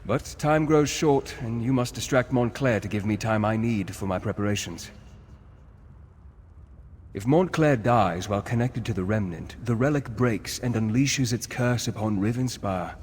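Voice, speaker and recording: A man's voice: lowish voice, normal speed, a little mellow, long reverb tail, a little hiss or hum, lightly acted. Man acting a noble, earnest fantasy leader. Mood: solemn, reassuring. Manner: formal, solemn, dramatic. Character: noble, earnest male war leader and ally. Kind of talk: fantasy video game acting.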